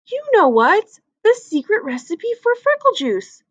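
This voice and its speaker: A woman's voice: very high-pitched, very animated, a little slow, dry recording, highs cut off, pitched above the performer's own voice, heavily acted. A woman voicing an eager, impatient young schoolboy in a storybook.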